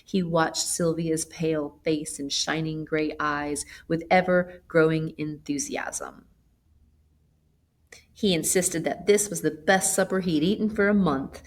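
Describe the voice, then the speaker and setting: A woman reading a literary short story aloud as an expressive narrator. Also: low-pitched. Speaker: story reader.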